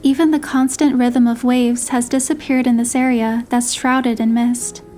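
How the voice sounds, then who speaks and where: A woman's voice: on the high side, level tone, normal speed, dark tone, lightly acted. A woman playing a young heroine who narrates her romantic story in the first person, in a scripted storytelling style. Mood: gentle storytelling.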